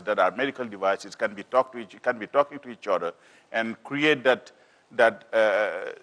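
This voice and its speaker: A man with a deep voice presenting on a panel as a business manager, in a halting, repetitive way full of filler phrases. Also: fairly bright tone. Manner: halting, repetitive, peppered with "you know".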